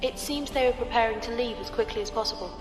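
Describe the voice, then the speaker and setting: A woman's voice: on the high side, fast, music in the background, close to an everyday voice. A woman acting a composed, precise, formal starship navigator in a sci-fi audio drama. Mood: calm formal. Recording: echoey reverberant hall sound.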